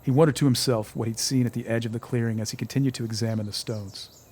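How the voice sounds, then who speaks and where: A man's voice: mid-range voice, fairly even intonation, fast, a little mellow, slightly rough. A man narrating a scripted audio drama in a suspenseful storytelling manner. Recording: music bed under the voice.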